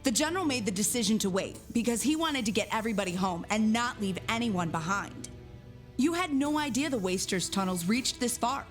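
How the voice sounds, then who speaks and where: An actress playing a loyal, blunt female captain in a sci-fi motion comic, brisk and no-nonsense. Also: medium pitch, lightly acted. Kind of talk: animated motion-comic drama acting. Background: music.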